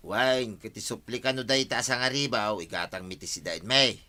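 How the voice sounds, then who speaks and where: An actor playing an easygoing husband who buys snacks on credit. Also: male voice, medium pitch, moderate pace, bright, forceful tone. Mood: casual. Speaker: husband of the household. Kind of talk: Ilocano radio drama acting.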